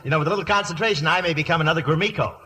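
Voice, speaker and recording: An actor playing a cheeky, conceited ham posing as a butler in an old radio comedy, theatrical and wisecracking. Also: male voice, on the high side, rapid-fire, lightly acted. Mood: boastful. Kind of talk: 1940s-1950s radio comedy acting. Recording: old radio broadcast with faint hiss.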